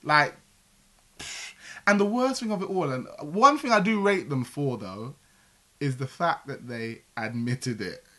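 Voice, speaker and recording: A young man co-hosting a casual chat about religion in the news. His delivery is playful and full of asides, with a lively, swooping pitch and a sharp, bright tone. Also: unhurried. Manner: playful, jokey, animated storytelling. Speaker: co-host.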